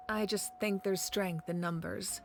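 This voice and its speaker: Composed, resolute warrior leader over music. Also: female voice, medium pitch. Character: confident, caring warrior leader woman, former fire deity. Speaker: game character. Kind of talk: scripted video game voice acting. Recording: game audio with background music.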